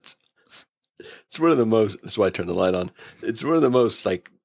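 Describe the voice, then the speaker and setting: A man with a very deep voice and plenty of vocal fry talks casually as a podcast co-host. He is wry and easygoing, recorded close and dry. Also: lively intonation, brisk, darkish tone, no echo. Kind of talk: casual conversation and episode review.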